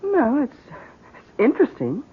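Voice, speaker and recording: An actress playing a polite, cautious, thrifty wife in a 1970s radio drama. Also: female voice, high-pitched, wildly swooping pitch, normal speed, breathy edge, full character voice. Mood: doubtful. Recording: muffled lo-fi 1970s radio broadcast.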